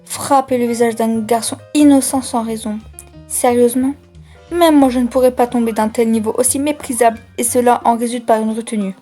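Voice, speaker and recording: A stern, haughty cartoon schoolmistress, acted by a woman, fairly high but mellow in voice. She is formal and condescending, over background music. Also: fairly even intonation, a little fast, natural voice. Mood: scornful. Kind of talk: fan-dub cartoon acting.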